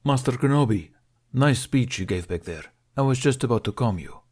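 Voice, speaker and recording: A man's voice: medium pitch, lively intonation, moderate pace, a little mellow, voice pushed up, natural voice. A man voicing a tired, plain-spoken male military commander in a science fiction story, dutifully giving his report. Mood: weary. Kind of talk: acted audiobook dialogue in a science fiction story.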